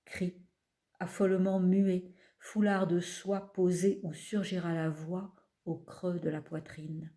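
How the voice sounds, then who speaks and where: A woman poet reading her own poems aloud in a calm, measured way, pausing between phrases. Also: fairly low pitch. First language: not French. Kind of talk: slow poetry reading.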